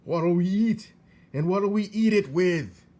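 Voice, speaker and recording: A man voicing a greedy, indignant old uncle who bursts out loudly and high. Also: takes it slowly. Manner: loud, exasperated outbursts.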